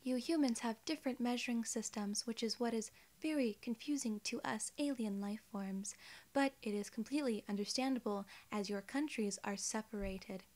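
A woman in her twenties voicing a polite alien gatekeeper in soft-spoken, measured, reassuring ASMR role-play. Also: on the high side, close to an everyday voice. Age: 20s. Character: polite, formal female alien gatekeeper at a wormhole.

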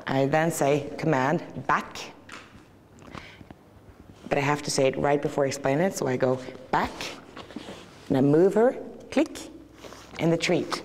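A woman's voice: low voice, a little slow, long pauses, darkish tone, slight background noise. A woman horse trainer explaining clicker training step by step in an indoor riding arena. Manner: explains step by step, laughs easily. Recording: echoey arena.

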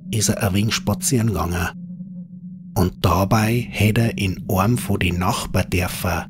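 A man with a Bavarian accent narrates a scripted horror story in dialect, in an unhurried, folksy manner. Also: sits low, noisy background.

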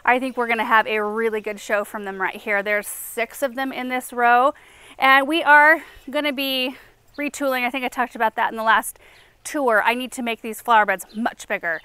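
A woman's voice: on the high side, steady tone, normal speed, bright, forceful tone, clear, resonant tone, no echo. A woman in her thirties hosting a casual walking garden tour outdoors, chatty and enthusiastic as she points out plants. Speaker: garden show host.

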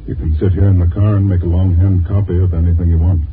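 An actor with an American accent playing a shady, insistent private detective in a 1950s radio drama. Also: male voice, low-pitched, flat delivery, fast, natural voice. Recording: muffled, noisy old 1950s radio recording.

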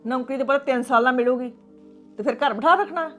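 A woman's voice: high-pitched, fast talker, fairly bright tone, soft background music. A woman playing a mother who coaxes her daughter insistently to marry. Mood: coaxing. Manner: coaxing, insistent.

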